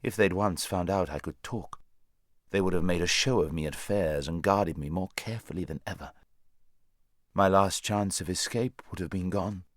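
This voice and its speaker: A man's voice: sits low, moderate pace, dry recording, studio quality, natural voice. A man voicing a proud, worldly talking war horse in a storybook, lecturing in a haughty, bossy way. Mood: rueful, proud.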